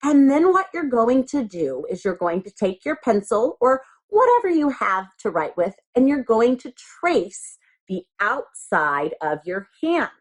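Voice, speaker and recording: Female primary teacher, children's craft demo: unhurried, expressive pitch, patient and simple step-by-step wording. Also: poor audio.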